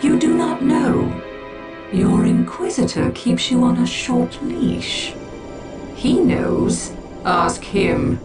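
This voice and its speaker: A proud alien prophetess of a video game speaks slowly and with solemn weight. Her words come measured and cryptic, full of command and foreboding. Loud cinematic music swells behind her. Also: a little high, very animated. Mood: cryptic, grave. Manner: grave, portentous, measured. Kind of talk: dramatic video game cutscene acting.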